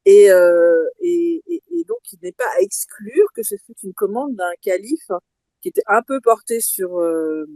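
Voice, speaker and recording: A woman's voice: mid-range voice, very animated, a little slow, warm tone, dry recording. A woman taking part in a casual live audio discussion, speaking hesitantly with many fillers and a wry tone. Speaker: participant.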